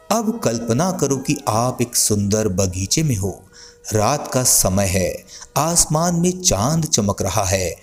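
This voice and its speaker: Slow-paced man guiding a sleep meditation. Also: mid-range voice. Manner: soothing, gently instructing listeners. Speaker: meditation guide. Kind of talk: guided sleep meditation, scripted narration.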